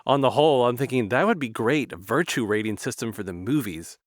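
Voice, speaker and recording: A man speaks as the host of a conversational interview. His mid-pitched voice is recorded close and dry, without room sound. He is emphatic, restating phrases to press a point. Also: lively intonation, a little fast, no echo. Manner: emphatic, repeats phrases for stress.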